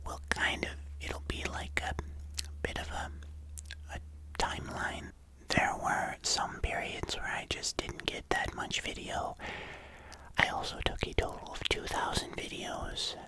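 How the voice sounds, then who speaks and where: Congested slow binaural whisperer. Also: low-quality recording. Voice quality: clicky mouth sounds. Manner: slow rambling whisper with many fillers. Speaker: ASMR creator. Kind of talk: whispered ASMR voiceover. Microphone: binaural microphone.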